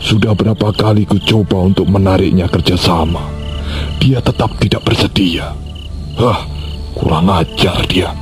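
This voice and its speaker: A man's voice: deep voice, moderate pace, over music, natural voice. An actor playing a bitter, scheming father in a radio drama, grumbling as he talks. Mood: brooding. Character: brooding scheming father, a weaponsmith.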